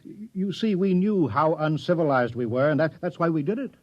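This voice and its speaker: A flustered, apologetic village mayor in an old radio play, with a husky voice that swoops up and down. The sound is dull and lo-fi, like an old radio recording. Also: male voice, on the high side, animated, a little fast. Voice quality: fluttery, apologetic, stammering. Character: fussy, flustered village mayor turned general. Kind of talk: 1950s radio drama acting.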